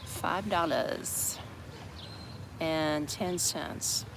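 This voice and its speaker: A man's voice: fairly high pitch, very slow, creaky. An American man from Florida chatting casually to live-stream viewers from a city park, rambling and greeting people as they join. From Orlando, Florida, USA. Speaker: expat livestreamer. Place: outdoors on steps in a busy city park. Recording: noisy outdoor city street. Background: street and traffic noise.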